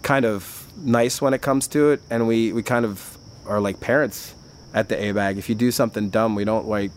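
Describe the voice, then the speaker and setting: A man's voice: lowish voice, fairly even intonation, normal speed, slight background noise. A man who works at a bar, talking in casual chat, rambling and chatty with frequent restarts and filler words. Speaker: bar worker.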